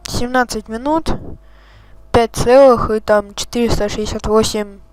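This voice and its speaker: A man's voice: high-pitched, steady tone, unhurried, darkish tone, clean tone, no echo, faint music behind, poor audio. A man hosting a gaming channel, chatting casually and swearing as he reacts to the game. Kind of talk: casual let's-play commentary.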